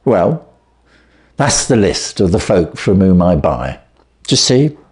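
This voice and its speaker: A man voicing a surly, cocksure Victorian London poultry salesman in a storybook reading, gruff and blustering. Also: deep voice, sing-song, slow, darkish tone, heavily acted. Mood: smug. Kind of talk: storybook character voice.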